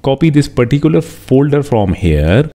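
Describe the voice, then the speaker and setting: A man's voice: medium pitch, sing-song, moderate pace, mellow. A man narrating a step-by-step tech tutorial as its host, in an instructional way that repeats key steps.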